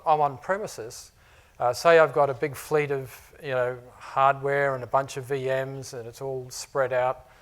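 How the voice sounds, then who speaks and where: A middle-aged man with a New Zealand accent mixed with Australian, giving a conversational conference talk to customers, with many "you know"s and "uh"s. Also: medium pitch. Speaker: technology architect presenting to customers. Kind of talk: conference presentation. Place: conference room before a live audience.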